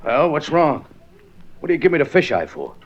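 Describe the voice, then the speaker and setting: An actor playing a defensive, indignant, streetwise crime suspect who protests in 1950s radio drama style. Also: male voice, a little high, very animated, a little fast, music in the background. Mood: agitated, protesting. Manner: agitated, protesting. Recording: muffled vintage radio broadcast.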